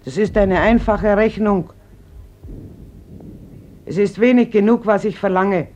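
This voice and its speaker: A woman's voice: mid-range voice, measured pace, darkish tone, dull audio, natural voice. An actress playing a stern, embittered mother in a radio drama, speaking firmly and without yielding. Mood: anguished, resolute. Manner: firm, unyielding. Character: stern, embittered Spanish mother guarding her family. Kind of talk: classic German radio drama acting. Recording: noisy old radio recording.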